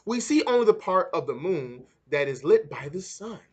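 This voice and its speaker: A man's voice: sits high, very animated, moderate pace, breathy edge, exaggerated voice. A man voicing an encouraging, instructive male schoolteacher in a children's storybook.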